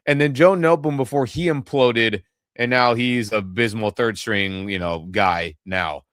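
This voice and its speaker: A man's voice: mid-range voice, a little slow, dry recording, studio quality. Irritated man with a head cold. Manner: irritated, venting, animated. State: nasal from a cold. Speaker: podcast co-host. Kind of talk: casual sports reaction chat. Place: home in Los Angeles. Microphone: webcam setup.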